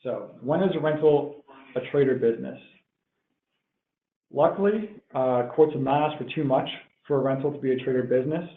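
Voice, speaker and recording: A man presenting a technical webinar in a measured, explanatory way, as if working through prepared points. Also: mid-range voice. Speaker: webinar presenter, tax professional. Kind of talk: explanatory tax webinar lecture. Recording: tinny phone-like line, roomy echo.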